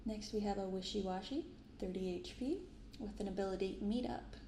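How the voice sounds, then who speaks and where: A soft-spoken woman hosting an ASMR video, reading aloud and commenting casually in a gentle, quiet delivery. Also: mid-range voice, fairly quick. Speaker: host of a card-opening channel. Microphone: binaural microphone. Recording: roomy sound with some background noise.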